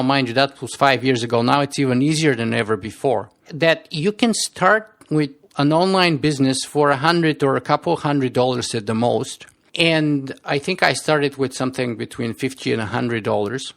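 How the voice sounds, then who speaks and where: Bulgarian-accented man with a gravelly voice. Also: medium pitch, fairly bright tone. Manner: earnest, repeats words when restarting. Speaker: podcast guest. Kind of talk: reflective interview storytelling.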